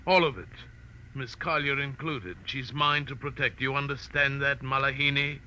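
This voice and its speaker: A man's voice: medium pitch, normal speed, bright, forceful tone, slight background noise, poor audio. An actor playing a towering, possessive, hot-tempered island man, declaiming pompously and with threat in 1940s radio drama style. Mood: angry, possessive.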